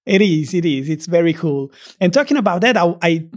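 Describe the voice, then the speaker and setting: A man from Naples with a Neapolitan Italian accent, hosting a relaxed interview in English and laughing easily. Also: on the high side, brisk. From Naples, Italy. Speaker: podcast host. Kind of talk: conversational interview.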